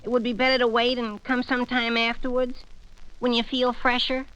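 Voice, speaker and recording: A sheepish, put-upon teenage American boy in a 1940s radio comedy. He sounds high, bright and clear, with a plaintive, hedging delivery. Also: monotone, normal speed, lo-fi sound.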